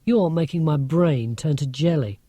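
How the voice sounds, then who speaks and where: A man's voice: a little high, moderate pace, mellow, no echo, close to an everyday voice. A man voicing a cheeky, whiny, awkward schoolboy in a children's audiobook. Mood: whining.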